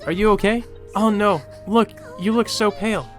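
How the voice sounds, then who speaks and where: Man doing a stubborn high-voiced schoolgirl. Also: steady tone, normal speed, lightly acted. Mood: worried. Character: stubborn, anxious teenage schoolgirl. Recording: music behind the voice.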